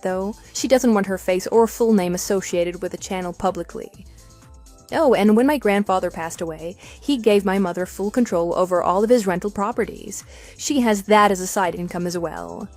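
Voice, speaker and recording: A woman's voice: mid-range voice, darkish tone, lightly acted. A woman playing a composed, matter-of-fact wife with a dismissive edge in a scripted audio drama. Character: composed, wry wife divorcing her cheating husband.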